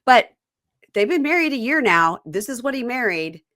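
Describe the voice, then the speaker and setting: A woman's voice: on the high side, moderate pace, no echo, studio quality. A woman hosting a casual reality TV recap podcast, retelling scenes and acting out the cast in mocking voices. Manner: animated, acts out cast members' lines in mocking voices. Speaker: podcast host.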